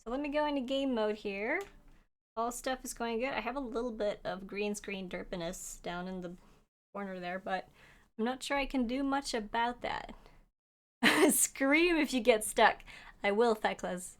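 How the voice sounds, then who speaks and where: Young female streamer, Norwegian first language, higher voice, casual and laugh-prone chat. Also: moderate pace. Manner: chatty and giggly, laughs often; talks to chat while playing. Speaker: game streamer. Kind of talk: casual livestream chat, reading chat aloud.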